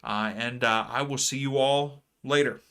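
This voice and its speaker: A man hosting a live soccer watchalong stream, chatting casually with viewers and ranting about fouls. His voice is going hoarse. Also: mid-range voice, steady tone, unhurried, pushed and bright. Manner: chatty, reads chat aloud, rants about fouls. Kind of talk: casual live match commentary.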